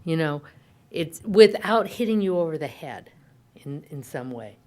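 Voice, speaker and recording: A woman's voice: low voice, very animated, measured pace, darkish tone. A woman adding a spontaneous comment in a panel discussion, tentative and searching for the right words.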